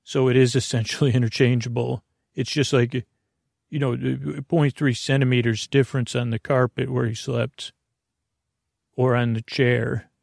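A man talks through a wandering bedtime monologue, drifting from tangent to tangent and cutting himself off mid-thought. His voice has a gravelly, fry-tinged edge at a middling pitch. The sound is dry and close, with no room around it. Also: little pitch movement, darkish tone, no room sound. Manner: meandering, self-interrupting, tangents; low-key, unhurried delivery. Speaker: podcast host.

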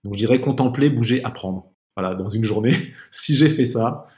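A man's voice: mid-range voice, moderate pace, a little mellow. A man speaking as a podcast guest in a casual, reflective interview, searching for his words and often repeating them.

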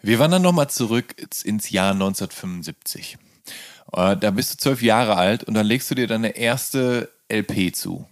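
A male interviewer with a fairly low voice, recorded very dry with no room sound. He asks questions in an informal, conversational way, as the host of a talk. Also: lively intonation, normal speed, no echo. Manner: informal, often murmurs acknowledgements.

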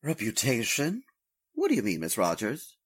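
A man playing a puzzled, doubtful gentleman with a husky voice, in 1940s radio drama style. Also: medium pitch, wildly swooping pitch, moderate pace, no room sound, clean studio sound.

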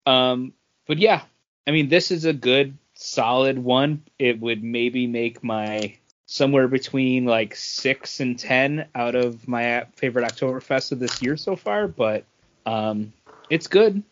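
Male podcast co-host, medium pitch, relaxed informal chat, slightly dull lo-fi recording. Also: unhurried. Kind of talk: casual chat, informal beer review.

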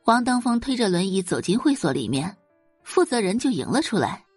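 A woman narrating a dramatic audio novel in an expressive storytelling manner. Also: medium pitch, a little fast, slightly rough, no room sound. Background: faint background music.

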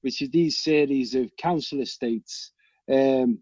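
A man with a Liverpool accent, a guest telling animated, rambling stories and swearing freely. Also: mid-range voice, a little slow, husky, no echo, lo-fi sound. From England. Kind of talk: casual storytelling chat.

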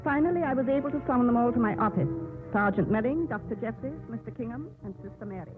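A woman's voice: on the high side, lively intonation, over music, close to an everyday voice. A woman playing a stern, formal convent Reverend Mother in 1950s radio drama style. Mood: grave. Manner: formal, measured, reproving. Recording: tinny old radio broadcast.

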